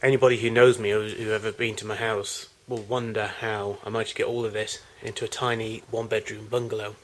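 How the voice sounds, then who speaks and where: A man's voice: fairly low pitch, little pitch movement, fast. A man giving an unscripted tour of his collection in a home video, recorded in a garage on amateur camcorder audio.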